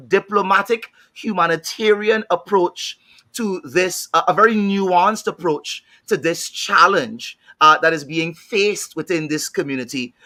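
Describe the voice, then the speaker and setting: A man's voice: sits high, pushed and bright. A man with a Trinidadian accent speaking on a panel discussion, thoughtful, often repeating words while he searches for the next thought. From Trinidad and Tobago. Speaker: panel guest.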